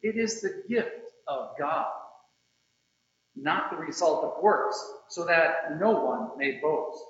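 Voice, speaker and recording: Guy with a highish voice reading bible passages at church, real slow and solemn, super echoey. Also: animated, a bit bright. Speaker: scripture reader at a church service. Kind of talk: solemn scripture reading with short introductions. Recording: very echoey room, slightly muffled.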